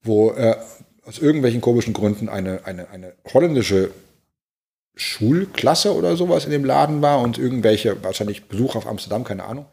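A man in his forties from Germany, a pastor, thoughtfully telling personal stories, halting with many restarts and repeated words. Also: medium pitch, gravelly, darkish tone. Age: 40s. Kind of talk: reflective personal storytelling.

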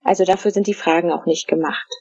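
A middle-aged woman from Germany, answering interview questions in a casual, thoughtful way, with many fillers and pauses. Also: lowish voice, steady tone, a little mellow, breathy edge, dry recording. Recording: dull, dry lo-fi recording.

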